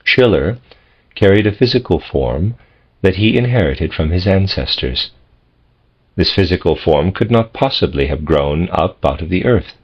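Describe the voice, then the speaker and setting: A man reading a philosophical text as scripted narration, in measured, deliberate phrases with pauses. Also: deep voice, lively intonation, husky. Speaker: audiobook reader. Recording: dull, lo-fi audio.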